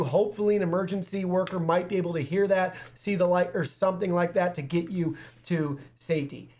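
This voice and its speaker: A man's voice: high-pitched, a little mellow. A young man giving an upbeat, step-by-step product review to camera in an indoor studio. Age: young adult. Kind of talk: scripted-sounding product review presentation to camera.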